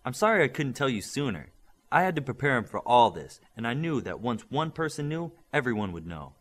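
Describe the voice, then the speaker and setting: A man reading the part of a confident male coach who leads a young team, in a calm, assured voice. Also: mid-range voice, a little fast.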